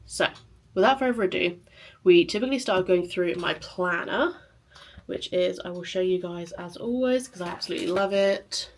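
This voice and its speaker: A woman with a sore throat and a scratchy, quiet voice, chatting casually to camera in a rambling vlog. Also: on the low side, normal speed. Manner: chatty, rambling, lots of fillers. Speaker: lifestyle vlogger.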